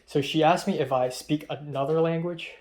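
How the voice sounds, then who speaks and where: A man's voice: a little high, steady tone, moderate pace, a little mellow. A young man from Hong Kong whose first language is Cantonese, rambling through a casual vlog story about college with lots of fillers and asides. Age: young adult. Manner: rambling, chatty, frequent fillers and trailing-off asides. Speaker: college student vlogger.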